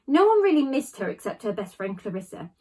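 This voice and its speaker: A woman reads a children's novel aloud in the manner of a class teacher. Her voice sits at an ordinary female pitch and has a slightly husky, unpolished edge. She leans on key words and leaves small dramatic pauses as she tells the story. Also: sing-song, darkish tone.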